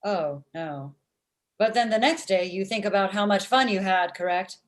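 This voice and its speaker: An earnest female robot, played by a woman in a comedy table read. She speaks in stilted, formal sentences at a medium pitch and delivers blunt jokes literally. It comes over a video call. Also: normal speed, lightly acted. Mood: uneasy. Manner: stilted formal phrasing, spoken-out laughs. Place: small room at home, video call. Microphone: video call computer microphone.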